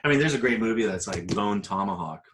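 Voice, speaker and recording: A man's voice: fairly low pitch, animated, quick pace, fairly bright tone, a little hiss or hum. A man talking casually as a podcast panelist, in a tentative, loosely rambling manner. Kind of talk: casual chat.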